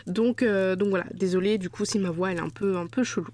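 A woman's voice: mid-range voice, fairly even intonation, quick pace, a little mellow. A woman hosting her own podcast while stuffed up with a cold, talking casually and directly to the listener with frequent fillers. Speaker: podcast host. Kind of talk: solo podcast talk.

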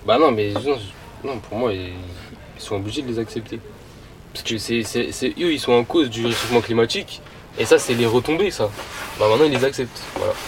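A man's voice: medium pitch, a little fast. A young man giving opinions in casual slang, blunt and insistent, often repeating himself. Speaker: passer-by guest. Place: live street show in a van. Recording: noisy street background.

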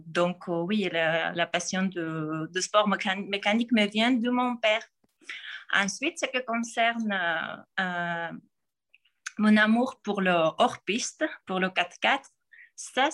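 A woman in her forties with a Georgian accent, answering interview questions in a halting way, with frequent pauses and restarts. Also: mid-range voice, fairly bright tone. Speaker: podcast guest.

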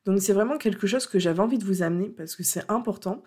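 A woman's voice: medium pitch, quick pace, darkish tone, husky. A French-speaking woman hosting a coaching podcast while she has a cold, talking unscripted in an earnest, hesitant way. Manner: hesitant, many fillers and restarts, earnest. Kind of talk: unscripted solo podcast talk.

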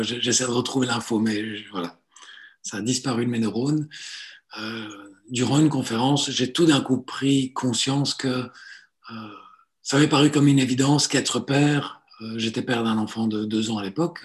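Forties male therapist, halting and hesitant, restarts often, mid-range voice. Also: mid-range voice, steady tone. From Italy. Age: 40s. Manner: hesitant, many fillers and restarts. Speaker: guest, psychotherapist and coach. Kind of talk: relaxed conversational interview.

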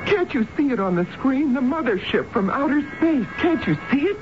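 A fretful wife pleads with fervent, dramatic swings of pitch, desperate to be believed. It is seventies radio drama, on a muffled recording buried in loud noise. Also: female voice, sing-song, normal speed. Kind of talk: 1970s radio drama acting. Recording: muffled, very noisy old radio broadcast.

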